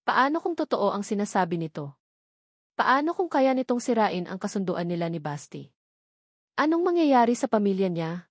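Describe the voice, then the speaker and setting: A woman's voice: medium pitch, sing-song, normal speed, dry recording, studio quality. A woman storyteller reading a scripted romantic drama with dramatic narration.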